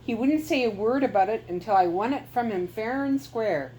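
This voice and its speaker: A woman's voice: a little high, animated, moderate pace, dark tone, slight background noise, natural voice, poor audio. A shrewd old cloth-trading lady, wry and sure of herself, as a woman reader plays her. She is read close to the narrator's own voice.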